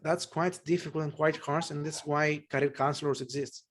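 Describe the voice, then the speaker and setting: A man's voice: on the high side, steady tone, normal speed, fairly bright tone. A man from Greece with a Greek accent, speaking English as a second language in an interview, thinking aloud with many fillers and restarts. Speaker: guest, polymathy researcher. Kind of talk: conversational interview.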